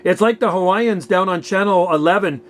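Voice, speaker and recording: Guy, high voice, chatting on the radio, chummy slangy operator talk. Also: fairly quick. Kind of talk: casual radio contact chat.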